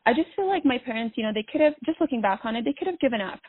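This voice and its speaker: A woman's voice: a little high, animated, very fast, husky, no room sound. A woman from Bosnia, a podcast guest speaking by phone, talking earnestly and reflectively with many fillers. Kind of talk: phone interview. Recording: dry tinny phone line.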